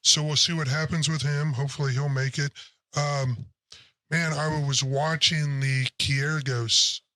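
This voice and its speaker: A man co-hosting a casual sports podcast, blunt and opinionated, with a deep throaty voice and casual cursing. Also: little pitch movement, a little slow, sharp tone, no echo.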